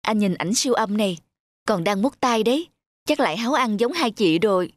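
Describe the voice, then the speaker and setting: A woman voicing a gentle, caring young pregnant wife in a cartoon. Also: mid-range voice, lively intonation, brisk, dry recording, close to an everyday voice. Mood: warm. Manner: soft, caring.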